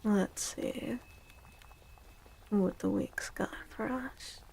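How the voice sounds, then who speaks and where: Breathy, soft-spoken woman, slow and creaky. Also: medium pitch. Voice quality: breathy, often slipping into whisper. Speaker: streamer hosting a tarot and astrology stream. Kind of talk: soft-spoken ASMR, casual live stream chat.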